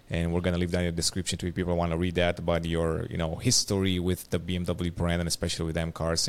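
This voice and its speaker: A man hosting an interview in a casual, conversational style. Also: sits low. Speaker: interview host.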